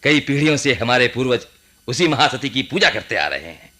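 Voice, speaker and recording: An actor playing a pious, indignant old priest in a radio play, scolding in an emphatic way. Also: male voice, mid-range voice, sing-song, brisk, sharp tone. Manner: scolding, emphatic, reverent about tradition. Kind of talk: Hindi radio play acting.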